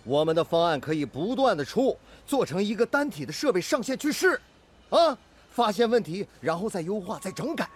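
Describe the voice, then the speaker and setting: A man's voice: high voice, big pitch swings, brisk, slightly rough, full character voice. A male actor playing a stubborn, inventive steel-mill technician and mentor in a Chinese radio drama. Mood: earnest, insistent.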